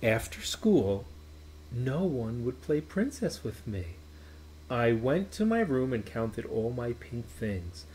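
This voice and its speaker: A man reading a children's picture book aloud in an expressive, emphatic way. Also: mid-range voice, slow, a little hiss or hum, poor audio. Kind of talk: children's storybook read-aloud. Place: small indoor playroom.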